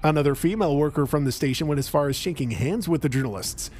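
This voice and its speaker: A man's voice: fairly high pitch, fast talker, a little mellow, soft background music. A man reading a scripted narration over police clips in a scornful, editorializing tone.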